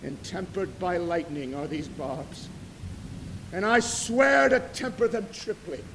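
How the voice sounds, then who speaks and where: Slow, grandiose vengeful old sea captain played by a man. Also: high voice, lively intonation, vocal fry, full character voice. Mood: fierce, vowing. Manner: declamatory, theatrical, solemn, archaic diction. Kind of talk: stage tragedy acting. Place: theatre stage in an auditorium. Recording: noisy, echoey live auditorium recording.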